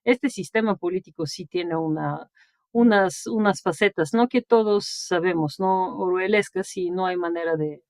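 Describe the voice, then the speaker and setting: A woman's voice: fairly low pitch, moderate pace, darkish tone, dry recording. Woman in her forties, interview guest, chatty storytelling, dry close sound. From Bulgaria. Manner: tags nearly every phrase with a questioning "no", laughs while telling stories. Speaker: interview guest, sinologist and translator.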